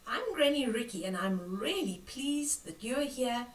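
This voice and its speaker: An older woman reading a picture book aloud to children, expressive and dramatic, with hushed whispers and exclamations as she comments on the pictures. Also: on the high side, a little slow. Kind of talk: bedtime read-aloud with asides on the pictures. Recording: roomy echo, distant from the mic.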